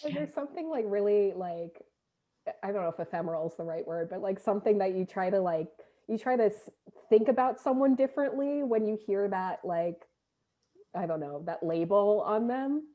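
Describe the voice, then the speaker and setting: A woman's voice: medium pitch, animated, moderate pace, phone-quality sound. A woman moderating an informal panel conversation, speaking casually and tentatively as she puts questions and reflects. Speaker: play director and panel moderator.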